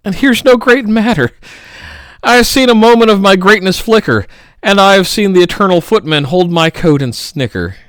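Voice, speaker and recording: A man giving a theatrical, melodramatic poetry reading, swinging between loud outbursts and hushed murmurs. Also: high-pitched, expressive, normal speed, clear, resonant tone, no echo, studio quality. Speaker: poetry reader.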